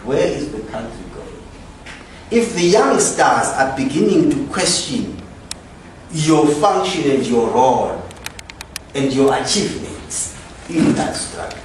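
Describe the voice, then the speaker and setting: A Zimbabwean man lecturing an audience in a large echoing hall, picked up from a distance. He speaks slowly with an animated, rising and falling delivery, posing one question after another. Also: medium pitch. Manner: poses rhetorical questions, questions the audience directly. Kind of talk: public lecture to a live audience. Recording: echoey hall, from far off, faint noise.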